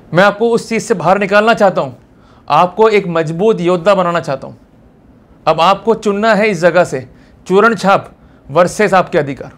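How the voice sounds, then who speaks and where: An Indian man giving a motivational legal lecture, exhorting the listener emphatically with rhetorical questions and English terms mixed in. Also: high-pitched. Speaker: presenter of a legal lecture series, advocate.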